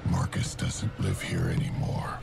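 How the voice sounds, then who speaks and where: An actor playing a sadistic, gloating serial killer in a video game horror monologue, gravelly and menacing, at times sinking to a whisper. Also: male voice, very deep voice, sing-song, over loud music, heavily acted. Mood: vicious. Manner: slow, savoring, menacing delivery.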